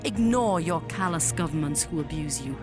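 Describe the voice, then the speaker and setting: A woman playing a commanding alien general in a scripted audio drama, speaking in a formal, measured, imperious manner. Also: low-pitched, animated, over music. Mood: solemn, persuasive. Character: commanding alien female general, proud, coldly persuasive mother.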